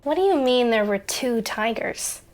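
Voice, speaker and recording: A woman playing a hurt but resolute young woman in an audio drama, at an ordinary pitch. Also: expressive, measured pace. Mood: uneasy. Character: wounded but resolute young woman confronting her abuser.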